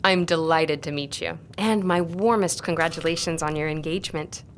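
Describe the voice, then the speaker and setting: An actress playing an earnest, courteous tutor in an audio drama, speaking in a measured way. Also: female voice, deep voice, a little hiss or hum. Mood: polite, hesitant. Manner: measured, didactic, polite.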